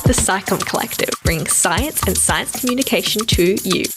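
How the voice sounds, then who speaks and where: A woman's voice: mid-range voice, animated, normal speed, over music. A woman reading a formal scripted show opening. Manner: formal, read aloud. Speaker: show announcer. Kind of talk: scripted intro.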